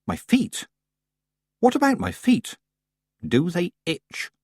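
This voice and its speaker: A man voicing a stern old woman witch who speaks calmly, coldly and tersely. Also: high-pitched, takes it slowly, husky, dry recording, studio quality, pitched above the performer's own voice, heavily acted. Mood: icy calm. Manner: calm, clipped, coldly controlled.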